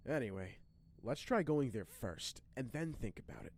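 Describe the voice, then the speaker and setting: A man playing a brash, self-deprecating young man in an animated, expressive anime dub style. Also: mid-range voice, normal speed, natural voice. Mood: casual, calculating.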